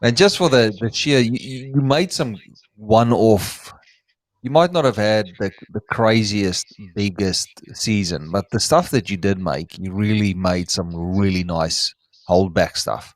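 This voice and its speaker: Man, Afrikaans accent, fairly low voice, dry close sound, halting casual chat. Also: dry recording. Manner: hesitant, hunting for words, frequent restarts.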